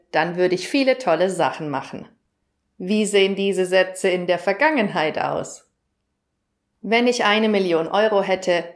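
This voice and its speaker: A German woman teaching grammar in a lesson video, explaining clearly and asking the viewer questions. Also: fairly low pitch.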